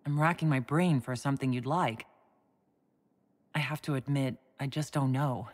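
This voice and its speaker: An actress playing a composed, gently wry female warrior hero in a fantasy video game, speaking in a measured, plain way. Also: rumbling low. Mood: wry, earnest.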